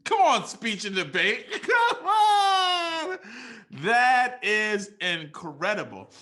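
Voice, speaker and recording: Young male emcee, hyped and casual, bright punchy voice. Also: very high-pitched, huge pitch swings, extremely slow. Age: young adult. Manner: enthusiastic, bursts of shouting and laughing, casual slang. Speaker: event host and teacher. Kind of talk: energetic off-the-cuff remarks.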